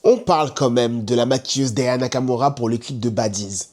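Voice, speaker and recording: A man's voice: mid-range voice, normal speed, a little mellow. A man vlogging at a hotel, interviewing guests in a playful, teasing way with lots of laughing. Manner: teasing, jokey, laughs often. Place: indoors at a busy hotel complex. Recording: crowd noise behind.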